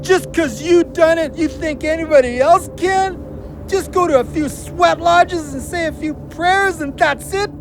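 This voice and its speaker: A belligerent, loud, high-voiced brawler. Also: male voice, unhurried, background noise. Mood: furious, taunting. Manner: profane, taunting, loud. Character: belligerent, out-of-control man spoiling for a fight. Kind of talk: heated audio drama acting.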